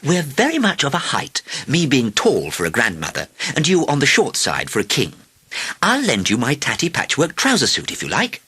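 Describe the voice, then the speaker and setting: Storybook grandmother, elderly and blunt, played by a man: raised pitch, husky, scornful edge. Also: wildly swooping pitch, normal speed, sharp tone, exaggerated voice. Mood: scornful, then relenting. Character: tall, stern, spirited old grandmother in patchwork.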